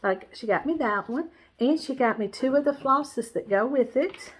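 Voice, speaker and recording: A woman's voice: high voice, expressive, normal speed, breathy edge. A woman with a stuffy, nasal voice, chatting casually about her cross-stitch supplies in a rambling way, with lots of "um"s and restarts. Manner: rambling, warm, many fillers and restarts. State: stuffy, congested nose. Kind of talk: casual show-and-tell chat.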